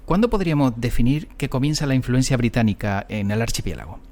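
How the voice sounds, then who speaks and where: A man's voice: mid-range voice, expressive, quick pace, darkish tone. A man presenting and interviewing as a podcast host. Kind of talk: scripted intro narration and interviewing.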